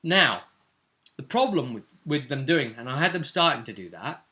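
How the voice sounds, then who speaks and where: A man with a crisp, very bright voice at an average pitch talks through a tabletop game. He sounds like a game master in informal conversation, nudging his listener to agree as he goes. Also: sing-song, moderate pace. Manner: informal, seeks agreement with tag questions. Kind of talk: casual chat recapping game play.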